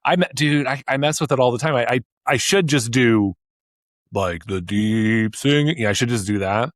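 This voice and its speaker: A man's voice: mid-range voice, normal speed, no room sound, clean studio sound. A man in his thirties co-hosting a podcast, talking in an enthusiastic, rambling way with many restarts. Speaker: podcast co-host. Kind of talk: casual chat.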